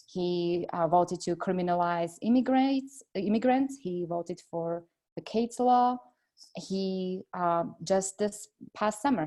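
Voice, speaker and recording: A middle-aged woman from Slovakia with a Slovak accent, answering interview questions earnestly and filling pauses with "uh.". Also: mid-range voice. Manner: earnest, steady, frequent "uh" fillers. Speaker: congressional candidate.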